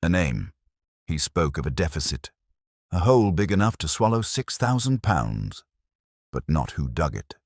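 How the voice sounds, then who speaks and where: A man reads as a calm, shrewd inspector in a detective story. The voice is extremely deep, soft-spoken and deliberate, lifting and falling expressively as it probes, recorded very dry. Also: no room sound, full character voice. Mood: thoughtful. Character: calm, shrewd, probing male police inspector.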